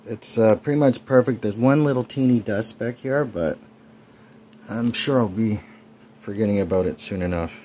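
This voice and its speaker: A man's voice: lowish voice, normal speed, dark tone, slight background noise. A man in his forties casually narrating his bike build over clips, chatty with frequent ums. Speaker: cycling vlogger.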